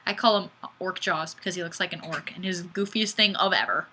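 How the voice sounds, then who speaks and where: A woman hosting a casual live stream, chatting and reading comments in a goofy, animated, exclamatory way. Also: on the low side, fairly quick, slight background noise. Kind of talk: casual chat, reading viewer comments aloud.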